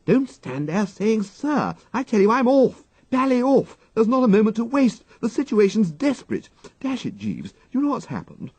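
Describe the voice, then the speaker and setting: A man voicing an excitable, flustered young upper-class English gentleman with an exclamatory manner and dated slang, in an audiobook. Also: high-pitched, moderate pace, few pauses, breathy edge, no room sound, voice pushed up, heavily acted. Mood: panicked, agitated.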